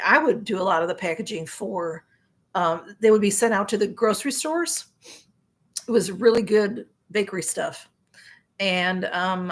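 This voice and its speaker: A woman in her sixties chatting casually on a live stream, reading viewer comments aloud, rambling with lots of fillers and frequent laughs. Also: mid-range voice. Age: 60s. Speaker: livestream host.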